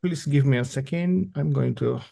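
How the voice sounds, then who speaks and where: A man's voice: medium pitch, normal speed, vocal fry, mellow. A man from Indonesia giving an informal conference talk online as an academic presenter, casual and self-deprecating. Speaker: academic presenter, associate professor. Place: online video call.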